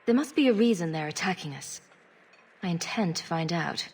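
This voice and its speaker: An actress playing a composed, resolute adventurer heroine in a video game, measured and determined. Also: female voice, lowish voice, lively intonation, darkish tone, husky. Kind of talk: dramatic video game acting.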